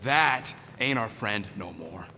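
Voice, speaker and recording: A man's voice: fairly low pitch, lively intonation, takes it slowly, sharp tone, slight background noise, exaggerated voice. A man voicing a cranky, wisecracking small furry creature mechanic in a video game, with sarcastic street-tough speech. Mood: gruff, defiant.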